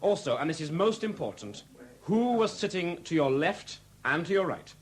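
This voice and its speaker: A brisk policeman addressing a group in a seventies radio drama. His male voice is rather high and swings widely in pitch as he gives orderly, emphatic instructions. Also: very animated. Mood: brisk, commanding. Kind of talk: 1970s radio drama acting.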